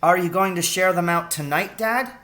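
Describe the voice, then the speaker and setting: A man's voice: high-pitched, moderate pace, bright, forceful tone, voice pushed up. A man voicing a curious, easily astonished young boy with a wide-eyed, questioning manner in a storybook reading. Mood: puzzled.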